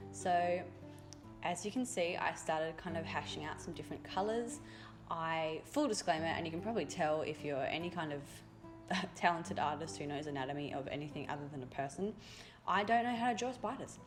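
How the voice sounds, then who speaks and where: A young woman art youtuber talking casually over her drawing, raspy from a cold and rambling with fillers. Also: low-pitched. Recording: voice-over with loud music behind.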